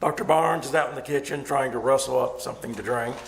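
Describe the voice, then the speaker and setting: A man's voice: medium pitch, fast talker, gravelly, close to an everyday voice. A man playing a weary, fatalistic faculty member in a radio thriller, hesitant and understated. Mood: distracted, resigned. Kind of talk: old-time radio thriller acting. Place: live staged performance at a winery. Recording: noisy live stage recording.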